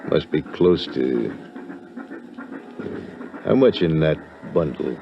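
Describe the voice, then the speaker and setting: An actor playing a gruff, grasping sea captain with salty sailor talk in a 1950s radio drama. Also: male voice, a bass voice, expressive, full character voice. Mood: sly bargaining. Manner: slow salty sailor talk, hard bargaining. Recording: muffled, slightly noisy old radio recording.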